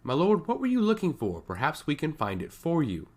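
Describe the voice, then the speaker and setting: A man voicing a calm, dignified aide. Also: a little high, brisk, pitched above the performer's own voice. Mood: polite. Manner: calm, polite.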